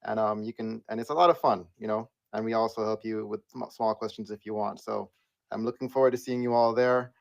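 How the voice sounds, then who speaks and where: Playful low-voiced man moderator. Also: steady tone, a little fast, creaky, dull audio. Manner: playful, laughing easily. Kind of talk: casual chat.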